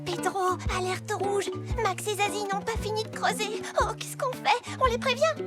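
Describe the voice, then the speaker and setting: A clever, sceptical young kid, squeaky and very high, talking fast and lively. Clear music runs under the cartoon dialogue. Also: female voice. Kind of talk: French cartoon voice acting.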